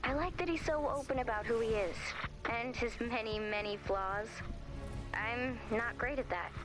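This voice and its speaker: A woman's voice: fairly high pitch, moderate pace, music in the background, natural voice, poor audio. A woman voicing an emphatic, dramatic young misfit heroine in a video game, sarcastic yet vulnerable. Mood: wry.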